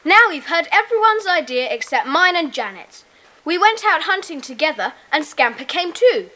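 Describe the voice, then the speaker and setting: Bright, high-voiced bossy schoolboy. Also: female voice, big pitch swings, moderate pace, full character voice. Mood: eager, commanding. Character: bossy, brisk schoolboy leader of a club. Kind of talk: children's audio drama acting. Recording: faintly noisy cassette tape.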